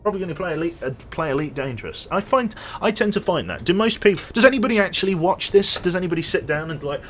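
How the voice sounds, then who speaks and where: A chatty young man rambles through a live gaming stream, talking to his viewers as he plays. His pitch moves a lot from line to line. A faint ambient noise sits under his voice. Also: lively intonation, quick pace. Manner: rambling, chatty, reads chat aloud and jokes. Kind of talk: casual live-stream chat while gaming.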